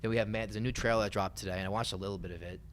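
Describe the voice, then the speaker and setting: The quick, low-voiced young American guy rattling along on a hobbyist podcast, some noise in the background. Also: fairly even intonation. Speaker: podcast co-host. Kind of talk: casual chat about video games and pop culture.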